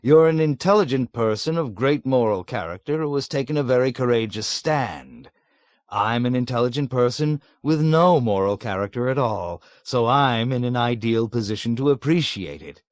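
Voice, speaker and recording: A man voicing a sly, unruffled male colonel who speaks with slow, dry irony. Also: mid-range voice, expressive, no room sound, lightly acted. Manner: drawling, ironic, dryly mocking.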